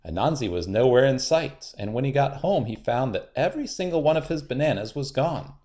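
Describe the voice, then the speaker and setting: A man reads a children's story aloud in a mid-pitched voice. His delivery is highly animated and expressive, leaning on key words for dramatic effect. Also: fairly quick. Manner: expressive, dramatic repetition and emphasis. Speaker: storybook narrator. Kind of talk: children's read-aloud narration.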